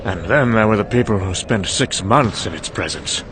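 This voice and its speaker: A man's voice: lowish voice, lively intonation, moderate pace, natural voice. A man playing a weary, sherry-soaked older scientist, rambling conversationally and hesitantly in a wry, confessional monologue. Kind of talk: dramatic monologue. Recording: clearly noisy background ambience.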